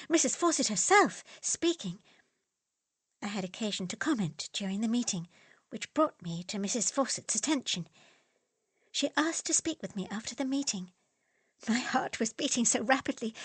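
A woman's voice: a little high, breathy edge, no echo. A woman reading as an earnest young letter writer. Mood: eager. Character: young English woman writing a heartfelt letter, earnest and eager.

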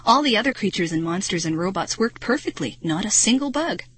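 A concerned, practical man working as an engineer in a children's audiobook, read by a woman. He speaks directly and with questions, in a husky voice on a dry recording. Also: medium pitch, lively intonation, a little fast, fairly bright tone, no echo. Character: concerned male studio engineer, practical and upbeat.

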